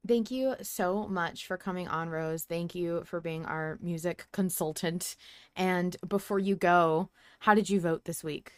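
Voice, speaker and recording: A woman's voice: lowish voice, measured pace, clear, resonant tone, dry recording. A woman hosting a podcast in casual conversation, talking in a chatty, playfully enthusiastic way. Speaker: podcast host.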